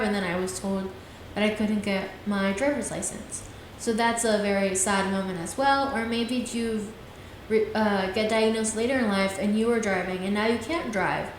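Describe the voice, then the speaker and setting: A woman in her twenties hosting her own podcast, talking in an earnest, rambling way and often trailing off with "things like that.". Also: mid-range voice. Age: 20s. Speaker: podcast host. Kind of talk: casual solo podcast talk. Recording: very echoey room, faint hiss.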